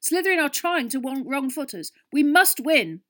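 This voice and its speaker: A woman voicing an intense, anxious teenage boy sports captain in an audiobook, speaking urgently and forcefully. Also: mid-range voice, normal speed, lightly acted. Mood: frantic, shouting. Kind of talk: audiobook character voice.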